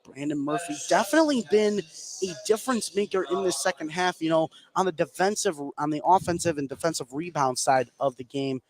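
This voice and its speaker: A man doing live radio play-by-play commentary of a basketball game, excitable on big plays. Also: on the high side, a little fast. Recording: clearly noisy live sports broadcast.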